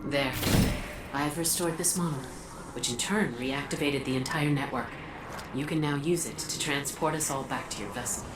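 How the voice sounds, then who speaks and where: Game alien mentor: low, echoing, formal and solemn, with loud music behind. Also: female voice, recorded from a distance, very noisy. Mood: calm assurance. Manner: formal, measured, detached. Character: calm, solemn ancient female alien guide.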